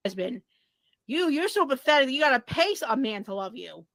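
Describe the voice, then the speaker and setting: A woman's voice: sits high, very animated, fairly quick, a bit bright, no echo. A woman ranting profanely and defensively on a livestream. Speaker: streamer being reacted to. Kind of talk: angry livestream rant.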